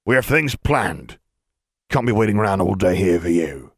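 A man voicing a gruff, resentful middle-aged uncle in an audiobook, grumbling his lines. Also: low-pitched, very animated, moderate pace, no echo, clean studio sound, heavily acted.

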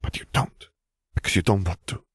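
A man's voice: brisk, no echo, studio quality, close to an everyday voice. A man voicing a jealous, hot-tempered pregnant young woman, part bird, who sounds sulky and accusing. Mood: hurt, accusing.

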